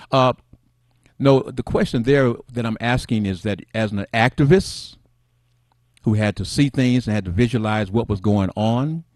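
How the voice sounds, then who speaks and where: A middle-aged man hosting a casual talk show, soft-spoken and rambling, who addresses his viewers as family. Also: lowish voice, no room sound. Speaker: host.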